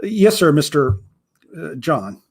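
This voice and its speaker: A man's voice: a little high, big pitch swings, takes it slowly, gravelly, breathy edge, full character voice. A man voicing a polite, nervous young male writer who stammers a little. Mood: earnest, nervous.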